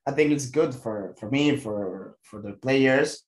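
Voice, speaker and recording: A man in his twenties with a Mexican Spanish accent, a football player answering press questions in halting English, often stopping and restarting as he searches for words. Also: medium pitch.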